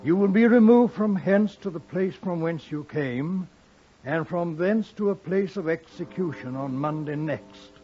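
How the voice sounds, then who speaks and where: A solemn, stern judge in a 1950s radio drama. His fairly high voice is measured and formal, with faint music in a dull old recording. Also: dark tone. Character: stern, solemn male judge pronouncing sentence.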